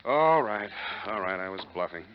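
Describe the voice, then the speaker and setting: A man's voice: on the low side, animated, normal speed, close to an everyday voice. An American man playing a cynical, tough private detective in a 1940s radio drama, terse and wry in delivery. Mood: dry, resigned. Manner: terse, wry, hard-boiled. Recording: muffled, noisy 1940s radio recording.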